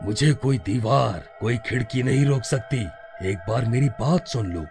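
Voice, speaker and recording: A man playing the pleading, distressed ghost of a murdered father in an animated story, dramatic and halting. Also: mid-range voice, over music, natural voice. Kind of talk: Hindi animated story acting.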